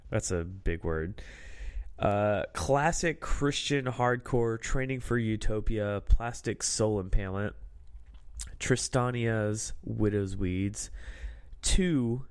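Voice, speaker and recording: A man's voice: lowish voice, slow pace, smooth. A man hosting a podcast in casual chat, laid-back and rambling, a little drunk. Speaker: podcast host.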